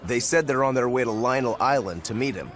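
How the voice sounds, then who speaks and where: A man's voice: medium pitch, lively intonation, fairly quick, a bit bright, natural voice. An actor playing a cool, detached demon swordsman with a blunt, matter-of-fact manner in a video game. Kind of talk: English-dubbed video game cutscene acting. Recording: game music behind the voice.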